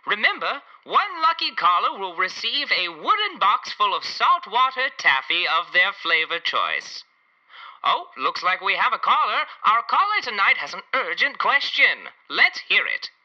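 A man's voice: sits high, sing-song, a little slow. A man playing a chipper old-time radio advice host, peppy, folksy and showy, in a 1940s broadcast parody. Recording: dull lo-fi radio sound.

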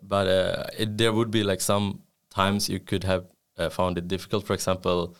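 A man's voice: low voice, flat delivery, moderate pace, smooth. A man in a casual group chat, talking loosely with lots of "like" and trailing off.

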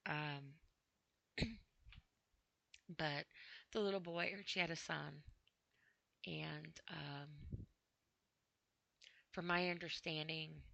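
A tired woman podcasting alone, rambling in a halting, apologetic way with many fillers after crying earlier. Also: low-pitched, fairly even intonation, gravelly, fairly bright tone, no room sound. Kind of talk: casual rambling monologue. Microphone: computer mic with headphones on.

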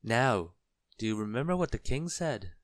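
A man acts out a small, cheeky storybook goblin. The voice is lifted high and teases in bright exclamations, with a close, dry sound. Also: big pitch swings, normal speed, no room sound. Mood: warning. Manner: teasing, exclamatory. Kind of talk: children's storybook character voice.

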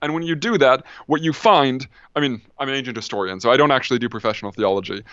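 A man's voice: mid-range voice, fast talker, fairly bright tone, clean tone, no room sound, muffled recording. A man, an ancient historian, talking as a podcast guest, thinking aloud with lots of ums and restarts. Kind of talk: casual academic discussion.

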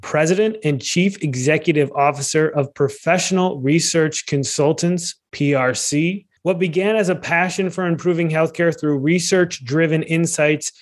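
A man hosting a podcast, reading a formal introduction and welcoming his guest at a measured pace. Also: a little high. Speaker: podcast host. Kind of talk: scripted podcast intro and interview.

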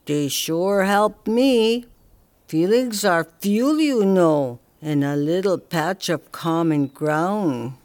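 A woman playing a slow, calm elder woman. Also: on the low side. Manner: slow, steady, gently teasing.

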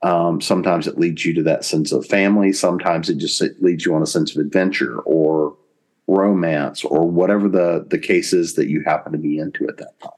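A man with a deep, low voice speaks as an interview guest. He explains things conversationally and deliberately, pausing mid-sentence as he shapes each thought. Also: steady tone, a little mellow. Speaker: podcast guest.

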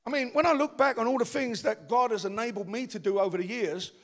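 A man's voice: very high voice, fast talker, a bit bright. A man from the East End of London with a Cockney accent, preaching a rousing sermon as pastor and calling on the congregation to respond. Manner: rousing, calls for audience response. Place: church. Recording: long church reverb.

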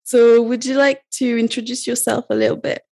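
A woman's voice: a little high, little pitch movement, a little fast, darkish tone. Chatty woman hosting a podcast. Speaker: podcast host. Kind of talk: casual conversational interviewing.

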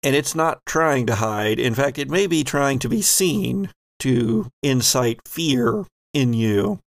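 Slow, creaky-voiced man narrating horror. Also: medium pitch, lively intonation. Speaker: game master. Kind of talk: narrating a horror tabletop game.

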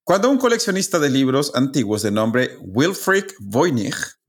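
Mexican male podcast host, mid-pitched, casual storyteller among friends who explains with asides. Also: sing-song, unhurried. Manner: conversational, explains with asides and tag questions.

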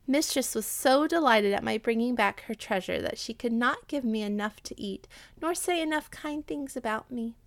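A sly, gloating cat from a storybook, as a woman reader puts her on. She teases in a lifted, lively voice. The sound is dry and close. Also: on the high side, moderate pace, few pauses, dry recording, voice pushed up. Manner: gloating, teasing. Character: sly boastful female house cat.

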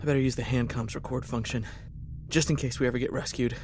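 A man's voice: mid-range voice, steady tone, fast, noisy background, natural voice. A man plays a wary military officer in a sci-fi audio drama. He is pragmatic and terse, with a dry, muttering way of talking in a mid-range voice. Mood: weary, resolved. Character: wary, pragmatic male military officer stranded aboard a hostile spaceship. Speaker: lead actor in audio drama. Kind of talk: science fiction audio drama acting.

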